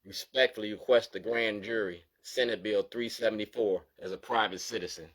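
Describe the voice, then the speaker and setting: A man with a fairly low voice talks straight to the viewer in a plain monologue. He is insistent and keeps checking in with "you feel me?" as he presses each point. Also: steady tone, a little fast, fairly bright tone, slight background noise. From Baltimore, USA. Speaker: activist. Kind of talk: direct-to-camera monologue going through documents.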